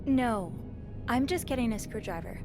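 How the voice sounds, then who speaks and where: An actress playing a young, curious and polite hotel maid in a video game. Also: female voice, fairly high pitch, animated, moderate pace, music in the background, natural voice. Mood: meek, nervous.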